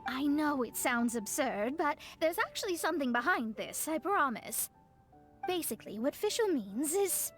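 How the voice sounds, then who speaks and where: Wry, high-voiced young astrologist. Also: female voice, very animated, normal speed. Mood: embarrassed, put-upon. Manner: sarcastic, plain-spoken, put-upon. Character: exasperated, wry young female astrologist, easily embarrassed. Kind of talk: video game voice acting. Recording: music behind the voice.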